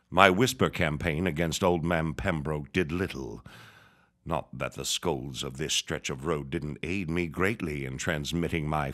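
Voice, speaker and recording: An old preacher villain with a very low voice. He speaks slowly and grandly, savoring his vengeful schemes with quiet menace, in the manner of a period audio drama. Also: exaggerated voice. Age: older.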